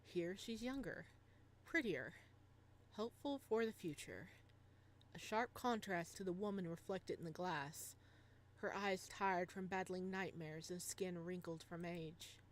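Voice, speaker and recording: A woman's voice: on the low side, a little slow. Bubbly woman livestreamer, casual and chatty. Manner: bubbly, giggly, rambling reactions to chat and story. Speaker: livestreamer and host. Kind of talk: casual livestream chat and reading a visual novel aloud.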